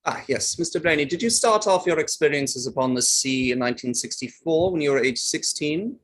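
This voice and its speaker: A man reading a courteous barrister in a courtroom play, putting formal, halting questions. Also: on the high side, animated, a bit bright. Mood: patient, formal. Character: courteous male barrister leading his own witness. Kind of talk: table read of a courtroom play.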